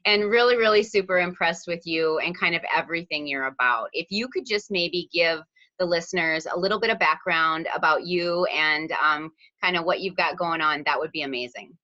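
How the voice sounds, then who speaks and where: A woman's voice: fairly low pitch, normal speed, bright, forceful tone, muffled recording. A woman hosting a podcast interview, enthusiastic and effusive with her guest. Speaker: podcast host.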